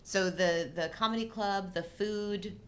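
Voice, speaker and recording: A woman's voice: mid-range voice, a little slow, a little hiss or hum. A middle-aged woman co-hosting a live show in casual, playful, agreeable banter.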